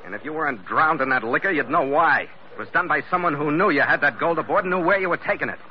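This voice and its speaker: A man's voice: a little high, animated, fast talker, natural voice. An actor playing a tough, decisive sea captain in a classic radio drama, clipped and hard-boiled as he acts and narrates. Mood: stern, accusing. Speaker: actor in the lead role. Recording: dull, noisy old radio recording.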